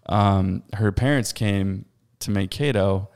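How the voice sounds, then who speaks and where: A man in his thirties, a podcast guest, chatting casually and loudly, rambling with plenty of fillers and frequent laughs. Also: fairly low pitch, takes it slowly, clean tone. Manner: loud, laughs often, rambling with many fillers. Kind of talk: casual chat.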